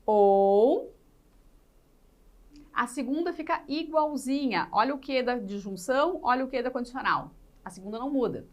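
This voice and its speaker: Casting: female teacher, fairly high voice, didactic explainer who repeats points and asks questions. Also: animated, normal speed, a little mellow. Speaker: teacher in an exam-prep class. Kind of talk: live lesson explaining exercises step by step.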